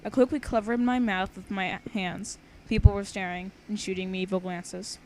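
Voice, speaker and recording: A young woman reads aloud in an informal, chatty way. She is giggly and trips over words, switching between story and banter. Her pitch is average, and the homemade recording has some faint background noise. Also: fairly even intonation, moderate pace. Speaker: amateur reader of own fan fiction. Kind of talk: casual read-aloud with banter. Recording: noisy homemade amateur recording.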